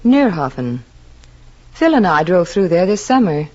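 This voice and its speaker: An actress playing a frank, chatty American officer's wife in a 1940s radio drama. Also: female voice, on the low side, sing-song, measured pace, a little mellow. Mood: hesitant concern. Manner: chatty, candid, hesitant when advising. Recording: muffled old radio broadcast.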